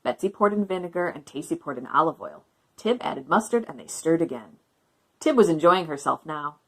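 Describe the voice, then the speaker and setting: A woman reading a children's story aloud in an expressive, bouncy way. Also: deep voice, a little fast, low-quality recording. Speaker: guest reader. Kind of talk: read-aloud storybook narration.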